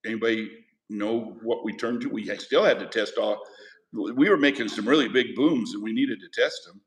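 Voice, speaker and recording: A man's voice: fairly low pitch, normal speed. A man teaching a history class, lecturing in a conversational way and putting questions to his students. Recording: echoey classroom.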